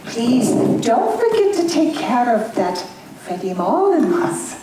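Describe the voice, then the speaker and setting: Casual: older lady playing a fussy old hostess, slow high voice, echoey hall far off. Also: sing-song. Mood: fussing. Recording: echoey hall, mic far off, clear hiss.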